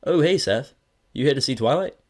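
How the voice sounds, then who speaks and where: Man as a naive, eager baby dragon boy, high-pitched and bright, storybook reading. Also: lively intonation, brisk, lightly acted. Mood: cheerful. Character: cheerful young male baby dragon helper.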